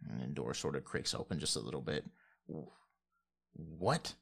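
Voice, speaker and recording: Man as tabletop game master: creaky, sharp-edged voice, loose conversational storytelling. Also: a bass voice, fairly even intonation, fast. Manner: colloquial, loosely descriptive. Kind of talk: casual improvised tabletop game narration.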